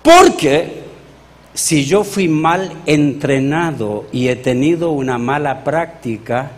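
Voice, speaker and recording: Chilean male pastor, high voice, animated sermon delivery, reverberant hall. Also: takes it slowly. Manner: animated, rhetorical questions to the congregation.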